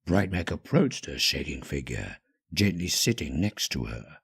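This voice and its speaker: A man narrating a scripted story dramatically, with measured, hushed phrasing and pauses. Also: very deep voice, big pitch swings, creaky, slightly rough.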